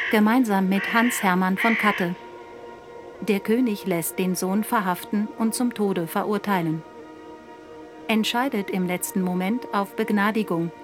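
A woman performs a sober female chronicler for a radio play. She narrates concisely at an average pitch, with music clearly audible behind her. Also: lightly acted. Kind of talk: scripted factual narration.

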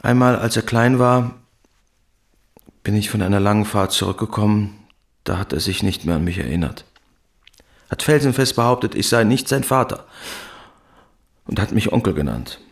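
A man's voice: fairly low pitch, animated, normal speed, long pauses. An actor playing a guarded, weary middle-aged working-class father in a radio drama, speaking in a terse, reflective, subdued way. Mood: wistful, subdued. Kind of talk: German radio drama acting, subdued realism.

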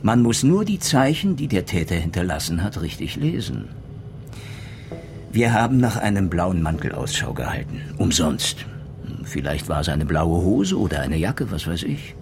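A man playing a persistent, eloquent police inspector in a radio crime drama, speaking deliberately and theatrically. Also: deep voice, sing-song, background noise. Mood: probing. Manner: deliberate, emphatic, rhetorical.

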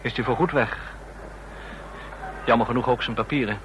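An actor playing a nervous, bungling junior agent in a radio drama, flustered, pleading and stammering. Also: male voice, medium pitch, moderate pace, background noise, lightly acted. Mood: tense.

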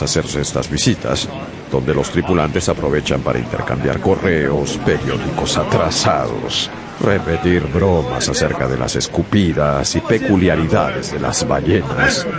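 An actor playing a sailor who narrates a whaling tale in a radio drama, in a grave storytelling manner. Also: male voice, a bass voice, exaggerated voice. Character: male sailor narrator recalling a doomed whaling voyage. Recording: radio drama with music behind.

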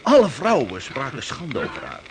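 A man in a Dutch radio drama playing a rough sailor telling an eerie tale, stammering and halting as he goes. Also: mid-range voice, huge pitch swings. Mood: haunted, agitated. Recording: noisy radio drama scene.

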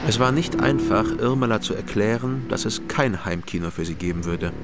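A man narrating a crime story in first person and questioning witnesses calmly. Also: fairly low pitch, fairly quick, lightly acted. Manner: calm, questioning. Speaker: narrator and detective protagonist. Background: music.

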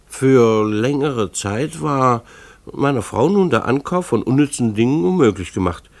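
Harried middle-aged teacher husband in a radio play, gravelly, wry, fussy wording. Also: male voice, medium pitch, expressive, a little slow, no room sound. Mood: wry, resigned. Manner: dry, formal, pedantic phrasing with hesitations. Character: harried, wry husband, a schoolteacher and family father. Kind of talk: German radio play, narration and dialogue.

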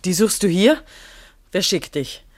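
Bitter young woman, 1950s radio play, agitated, dramatic, very animated intonation, close dry recording. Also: fairly low pitch, a little slow, no room sound. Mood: cold, accusing. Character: bitter, distraught young woman at a village petrol station.

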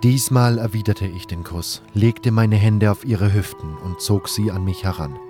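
A man's voice: fairly low pitch, moderate pace, music in the background. A man narrating a scripted first-person story for an audio drama.

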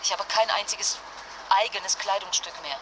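Casting: woman to play fragile, impulsive young mother stranded abroad, halting colloquial speech, mid pitch, radio drama realism over noisy ambience. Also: natural voice. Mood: agitated, upset. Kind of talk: naturalistic radio drama acting in phone calls. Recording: noisy ambience with vehicle sounds.

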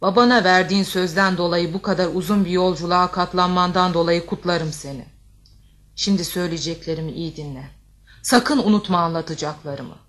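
A woman's voice: on the low side, brisk, fairly bright tone, natural voice. A woman playing a motherly, protective giant ogress who speaks wisely and with authority in an audio fairy tale. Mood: wise, instructing.

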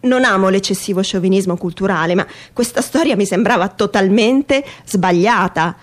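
A woman performing a theatrical reading of a humorous first-person essay in a wry, mock-earnest and expressive manner. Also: medium pitch, moderate pace.

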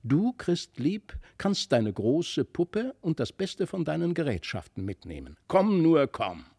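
A man voicing an impulsive, boastful young boy in a storybook, excitable and emphatic. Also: a little high, measured pace, slightly rough, voice pushed up, exaggerated voice. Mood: eager, restless. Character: impulsive, boastful young boy, quick to scorn his toys. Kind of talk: storybook character voice.

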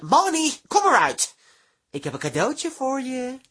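Radio play: affectionate, reassuring male partner, high and animated in his pleading. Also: measured pace, breathy edge, exaggerated voice. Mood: coaxing. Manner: coaxing, reassuring. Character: coaxing, affectionate partner of a nervous artist. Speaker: actor in a radio drama. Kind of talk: Dutch radio comedy drama acting, switching between Dutch and English.

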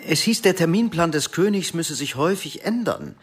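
A man's voice: fairly high pitch, lively intonation, moderate pace, breathy edge, soft background music. An actor playing a weary, anxious middle-aged businessman in a naturalistic radio drama, with hesitant, questioning delivery. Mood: uneasy, polite.

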